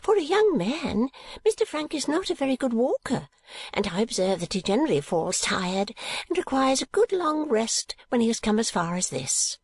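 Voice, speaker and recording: A woman's voice: fairly high pitch, big pitch swings, normal speed, slightly rough, no echo, voice pushed up, full character voice. A woman voicing a sly, teasing little spinster friend of the family in an audiobook, arch and chatty. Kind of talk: audiobook character acting.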